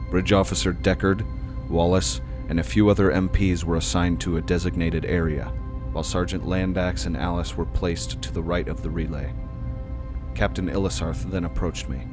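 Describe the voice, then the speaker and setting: A man's voice: low voice, fairly even intonation, normal speed, lightly acted. A man playing a young, plain-spoken, brooding corporal in a sci-fi audio drama. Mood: sober narrating. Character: young male corporal narrating his own trial, frustrated. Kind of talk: audio drama narration and dialogue. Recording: music under the voice.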